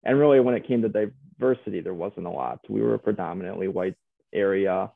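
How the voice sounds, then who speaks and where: A man's voice: sits low, a little fast, creaky, no room sound. A man speaking as a guest, reflecting hesitantly with many fillers and restarts. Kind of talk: reflective conversational storytelling. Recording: phone-like narrow sound, dry.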